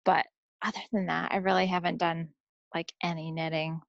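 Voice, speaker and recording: Woman with gravelly, close-up voice, chatting. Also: fairly low pitch, flat delivery, moderate pace, dry recording, muffled recording. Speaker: podcast co-host. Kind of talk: casual chat.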